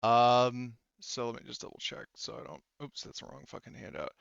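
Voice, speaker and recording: Halting, smooth-voiced male game master. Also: medium pitch, level tone, a bit bright, dry recording, highs cut off. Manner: halting, rambling, casually profane. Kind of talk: improvised tabletop game narration, casual.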